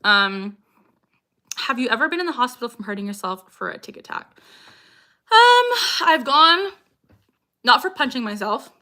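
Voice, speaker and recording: A young woman hosts a casual live Q&A, reading out questions and chatting in an upbeat way. Her voice is bright and pitched a little high. She laughs often, and sudden vocal tics cut into her sentences. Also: big pitch swings, normal speed, long pauses. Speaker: livestreamer answering chat questions. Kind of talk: casual livestream Q&A chat.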